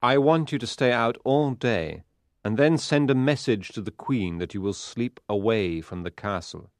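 An accusing, scheming medieval knight, played by a man in a dramatised storybook. He speaks with deliberate force in a mid-pitched male voice. The recording is dry and close. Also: lively intonation, dry recording, natural voice.

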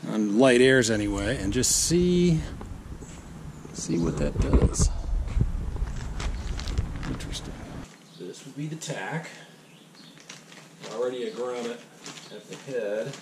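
A man's voice: mid-range voice, expressive, takes it slowly, pauses often, noisy background. A man in his fifties building and rigging a small sailboat, thinking aloud in short, musing phrases as he works. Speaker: boat builder. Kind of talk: talking to himself while working. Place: outdoors in a driveway and on a boat on a lake. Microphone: action camera on the boat.

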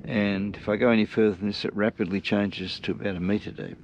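A man narrating his solo sailing trip from a small wooden boat outdoors, talking in an unhurried, reflective way with wry asides. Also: fairly low pitch, fairly even intonation, vocal fry, lo-fi sound, a little hiss or hum. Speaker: sailing vlogger. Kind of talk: casual first-person narration while sailing.

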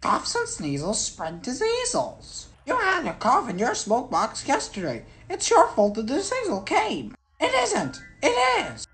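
A woman's voice: sits high, huge pitch swings, measured pace, few pauses, a bit bright, full character voice. A woman playing cheeky, squabbling young male twin tank engines in a children's story, with indignant, childlike protests. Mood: bickering. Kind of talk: children's storybook character acting.

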